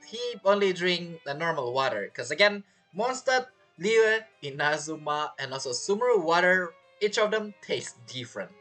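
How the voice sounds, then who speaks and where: Male gaming commentator: forceful bright tone, animated intonation, casual and amused, with halting phrasing. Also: a little high. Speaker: gaming content creator playing through a story quest. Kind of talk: casual live game commentary. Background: game music.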